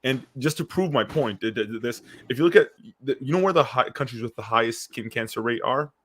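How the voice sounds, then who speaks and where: A man who grew up in Syria, co-hosting a podcast and talking quickly in a loose, conversational way with frequent restarts and fillers. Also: medium pitch. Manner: fast, animated, lots of restarts and fillers. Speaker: podcast co-host. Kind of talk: casual conversational podcast chat.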